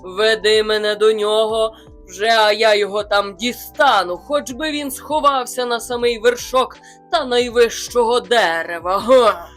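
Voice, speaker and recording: A woman's voice: measured pace, a bit bright, soft background music. A woman voicing a huge, furious male bear who roars threats in a storybook reading. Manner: roaring, booming threats.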